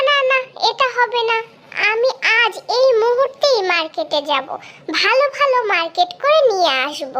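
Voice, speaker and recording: Tiny super high cartoon girl voice, bangladeshi accent, cheeky n pushy, whines till she gets her way. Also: bright, forceful tone, a little hiss or hum, exaggerated voice. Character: pushy, cheeky young girl begging for Eid shopping money. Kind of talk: comic cartoon dialogue.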